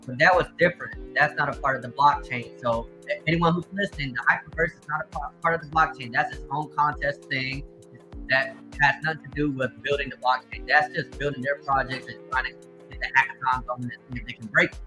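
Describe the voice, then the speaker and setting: A man hosting a casual livestream chat about crypto, explaining at length in a relaxed way. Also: mid-range voice, soft background music, poor audio. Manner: laid-back, drawn-out explanations. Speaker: livestream host.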